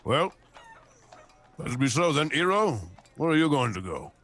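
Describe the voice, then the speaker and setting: Man, tabletop roleplayer, in character; slow, deliberate, clipped lines and thoughtful hums. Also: a little high, big pitch swings, a bit bright. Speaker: player.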